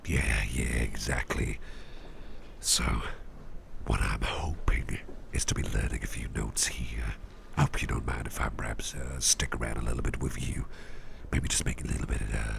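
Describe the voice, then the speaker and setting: Extremely deep, gravelly, half-whispering man. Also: a little hiss or hum. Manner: unhurried, gentle, reassuring. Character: soft-spoken, attentive businessman boss who researches legendary artifacts. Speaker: ASMR roleplay performer. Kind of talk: ASMR roleplay, intimate one-sided chat.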